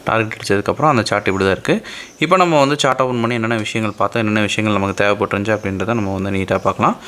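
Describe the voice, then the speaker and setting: Fast-talking Indian Tamil man, low-pitched tutor. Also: a bit bright. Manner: explanatory, often checking understanding with short tag questions. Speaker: forex trading instructor. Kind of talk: informal screen-share chart tutorial.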